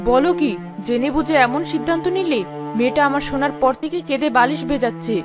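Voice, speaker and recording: A worried cartoon mother acted by a woman, middle-aged and protesting. She talks quickly in a high voice. The audio is muffled, with music behind. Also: natural voice. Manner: questioning, protesting. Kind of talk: Bengali cartoon voice acting.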